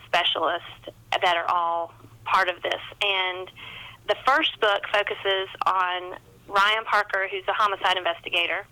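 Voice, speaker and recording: A woman with a sharply bright, average-pitched voice speaks as a guest author in a casual interview. She is chatty and self-deprecating, explaining things in an informal, conversational way. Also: steady tone, unhurried, rough recording.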